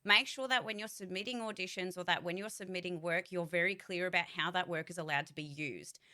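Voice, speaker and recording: Bright-voiced chatty young woman streamer. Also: fairly low pitch. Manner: chatty, blunt, swears freely, asks chat questions. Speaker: voice actor and streamer. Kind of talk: casual livestream chat to viewers.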